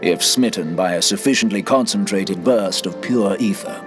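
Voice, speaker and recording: A man's voice: low-pitched, over music, close to an everyday voice. Solemn, courtly mystic scholar played by a man. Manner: formal, archaic, measured phrasing. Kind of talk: fantasy game cutscene acting.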